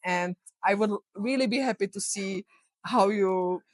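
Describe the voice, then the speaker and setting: Woman, Czech accent, native Czech, young adult, health coach, earnest, fillers, middle pitch, dry. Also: a little slow, no echo. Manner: earnest, encouraging, many "you know" fillers. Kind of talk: casual podcast interview.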